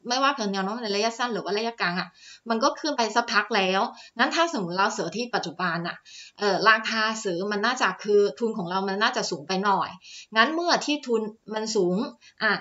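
Bright-voiced woman explaining stock charts. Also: mid-range voice, expressive, fairly quick, highs cut off. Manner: chatty, filler-heavy, polite particles. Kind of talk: screen-share chart walkthrough. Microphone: voice-over on screen recording.

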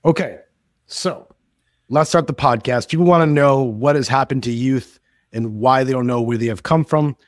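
A man's voice: medium pitch, normal speed, no room sound, clean studio sound. A young man hosting a podcast over a video call, upbeat and chatty, often repeating words of praise. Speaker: podcast host.

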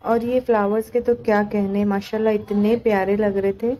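A woman's voice: medium pitch, little pitch movement, normal speed, loud music behind the voice, rough recording. A woman narrating a vlog in a casual, chatty, conversational way. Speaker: market vlogger.

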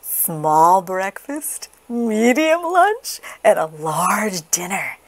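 A woman reads a picture book aloud for children. She takes her time, with dramatic phrasing and a very lively rise and fall. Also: medium pitch, extremely slow. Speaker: storybook reader. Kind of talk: expressive children's read-aloud.

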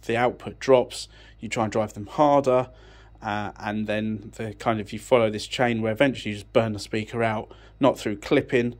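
A man with a slightly low voice talks through technical material informally. His delivery is unscripted and matter-of-fact, with some hesitation as he works out each explanation. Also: fairly even intonation, moderate pace. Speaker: audio technician presenting a tech channel. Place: indoors, beside an amplifier rack.

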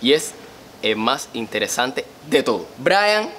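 A man's voice: medium pitch, big pitch swings, a little slow, bright, forceful tone. A young man with a Cuban accent chatting casually and comically to camera as a co-host, teasing and repeating his questions. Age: young adult. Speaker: prank show co-host. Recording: faint ambient noise.

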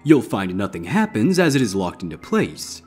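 A man voicing a theatrical, ominous pony stage magician with deliberate showman's patter in a storybook reading. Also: fairly low pitch, sing-song, a little mellow, husky, soft background music, pitched above the performer's own voice. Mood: commanding, eerie. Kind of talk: character voice in audiobook-style fan fiction reading.

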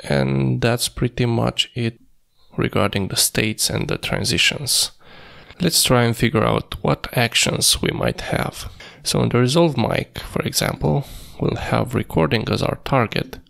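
A man presenting a screencast coding tutorial, thinking aloud in a halting, piecemeal way with a creaky, gravelly voice. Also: medium pitch, fairly bright tone. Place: indoors at a computer, screen recording.

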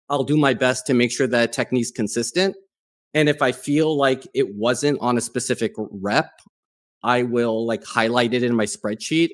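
A middle-aged man hosting a fitness interview in a casual, conversational way. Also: medium pitch. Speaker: interview host. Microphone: studio microphone on a video call.

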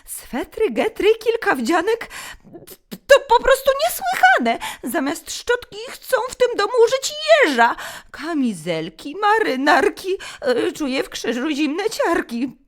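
Woman voicing an excitable high-pitched little hedgehog boy. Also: slow, exaggerated voice. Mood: panicked, indignant. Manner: melodramatic, exclamatory. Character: excitable, tearful orphan hedgehog boy longing for family. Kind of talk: children's storybook acting.

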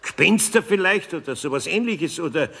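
A man's voice: on the high side, lively intonation, normal speed, vocal fry, bright, forceful tone, slightly rough, exaggerated voice. A man playing a kindly, anxious older master carpenter with a Bavarian accent and folksy manner in a children's radio play. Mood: hesitant, worried. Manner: hesitant, folksy.

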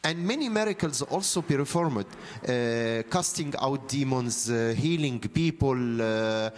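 A man in his fifties with an Egyptian accent, a native Arabic speaker, preaching as a priest in halting phrases with frequent pauses. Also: mid-range voice, lively intonation. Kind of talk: sermon, storytelling from notes. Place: church interior, seated at a lectern. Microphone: handheld microphone held close.

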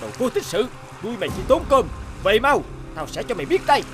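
Nasty bully kidnapper in a cartoon, high swoopy voice, harsh, music behind. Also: male voice, big pitch swings, a little fast. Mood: angry, threatening. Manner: harsh, scolding. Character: brutal, menacing male kidnapper bullying a child. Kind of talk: cartoon dubbing.

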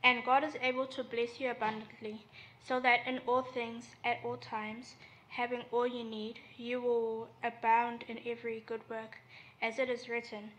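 Teenage girl reading scripture flatly. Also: on the high side, moderate pace. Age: teens. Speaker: scripture reader. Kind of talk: reading aloud. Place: church hall. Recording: distant mic, faint room noise.

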